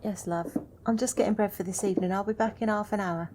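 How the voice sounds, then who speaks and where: A woman playing a caring young woman in an audio drama, soothing and tender with a gently scolding streak. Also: medium pitch, fairly even intonation, quick pace, background noise, natural voice. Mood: affectionate. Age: young adult.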